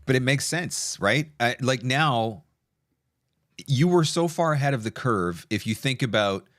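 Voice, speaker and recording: A middle-aged man hosting a casual online interview and pitch, talking in an emphatic, enthusiastic, conversational way. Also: animated, measured pace, fairly bright tone, dry recording, studio quality. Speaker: webinar host.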